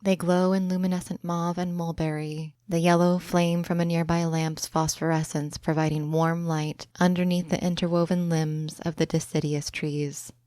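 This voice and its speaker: A woman narrating a scripted guided imagery meditation, slow, soft and soothing. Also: fairly low pitch, flat delivery, smooth, dry recording, studio quality.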